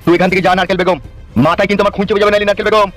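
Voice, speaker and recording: Foul-mouthed avenger husband for cartoon male performer, raised pitch, rapid-fire, music bed. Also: little pitch movement, lightly acted. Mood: enraged. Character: vengeful, foul-mouthed, hard-hearted wronged husband in a cartoon. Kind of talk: amateur Bengali cartoon melodrama. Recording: music under the voice.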